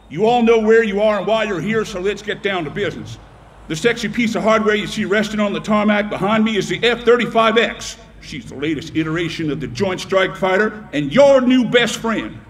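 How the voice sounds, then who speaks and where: An actor playing a brash, tough air force commander with a commanding, profane swagger in an audio drama. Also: male voice, high voice, moderate pace, lightly acted. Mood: swaggering, stern. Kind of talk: audio drama military speech.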